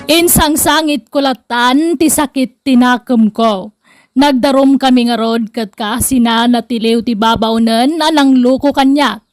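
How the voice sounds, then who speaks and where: A woman's voice: high voice, measured pace, faint music behind. A woman playing a rueful swindled character who narrates her lesson in a scripted radio drama.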